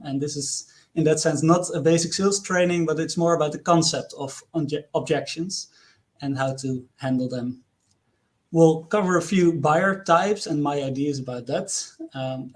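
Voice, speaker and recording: A man with a Dutch accent presents to an online audience, his voice sitting a little high for a man. He speaks earnestly, posing questions to his listeners and pausing often with hesitations. It sounds like a remote talk picked up by a computer mic. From Netherlands.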